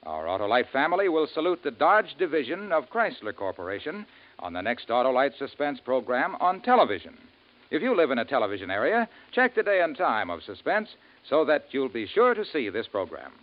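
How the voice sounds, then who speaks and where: A man's voice: medium pitch, big pitch swings, a little fast. A man reading scripted sponsor announcements as a 1950s radio commercial announcer. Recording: muffled vintage 1950s radio recording.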